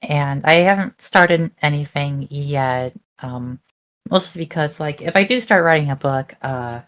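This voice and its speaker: A woman's voice: deep voice, measured pace, a bit bright. A woman talking casually about her hobbies on an online call, hesitant and full of fillers. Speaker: group chat participant. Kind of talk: casual chat.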